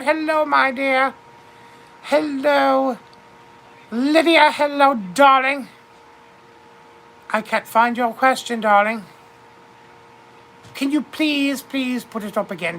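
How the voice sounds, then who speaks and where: A woman's voice: fairly high pitch, vocal fry, fairly bright tone, slight background noise, heavily acted, poor audio. A woman voicing a vain widowed duchess in her fifties with a British accent. She chats theatrically with her viewers and keeps calling everyone darling. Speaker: livestream host. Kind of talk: in-character livestream chat.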